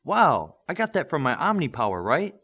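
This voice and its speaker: A man's voice: fairly high pitch, wildly swooping pitch, normal speed, fairly bright tone, husky, pitched above the performer's own voice, heavily acted. A man voicing an eager eleven-year-old superhero boy in an audiobook, asking and answering in short, earnest bursts. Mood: curious.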